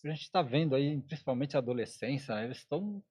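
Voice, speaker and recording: A man's voice: medium pitch, expressive, fast talker, a little mellow, slightly rough. A man hosting a podcast, agreeing often and chiming in with short replies. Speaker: podcast host. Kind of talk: casual interview conversation.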